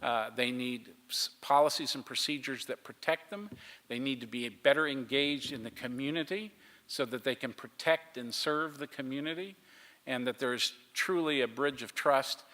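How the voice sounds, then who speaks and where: A man running a public meeting, speaking in a measured, preacherly way to the audience. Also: medium pitch, pushed and bright. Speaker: meeting facilitator. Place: large public meeting hall. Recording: reverberant hall, faint hiss.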